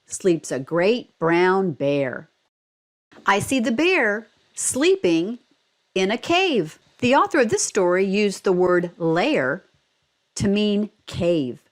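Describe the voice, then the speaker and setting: Female read-aloud presenter, animated intonation, unhurried delivery, instructive and geared to young children. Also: medium pitch. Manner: expressive, instructional, child-directed storytelling. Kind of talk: scripted instructional narration and picture-book read-aloud.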